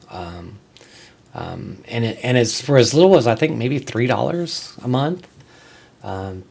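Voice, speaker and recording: A man with a fairly low-pitched voice talks as the host of a casual solo show. His delivery is unscripted and conversational. He halts and repeats words as he goes, then puts emphasis on his key points. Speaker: music show host and reviewer.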